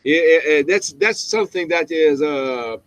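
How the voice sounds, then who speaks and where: A man with a Brazilian accent chats casually as a host. His voice sits a little high for a man, and the sound is dry and close, with little room around it. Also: measured pace, dry recording. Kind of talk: casual group video chat.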